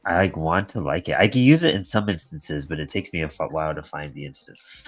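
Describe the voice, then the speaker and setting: A man's voice: very deep voice, lively intonation, fairly quick, no echo. A man chatting casually on a video call, laid-back with slang, often trailing off. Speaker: guest, comics creator. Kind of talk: casual chat.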